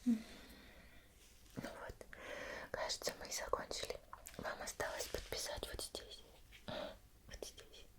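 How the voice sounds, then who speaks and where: Woman acting as whispering, formal female investigator. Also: lightly acted. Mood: gentle, grateful. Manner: measured, gentle, formal questioning. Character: female investigator, soft-spoken, formal, patiently questioning a witness. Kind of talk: ASMR roleplay.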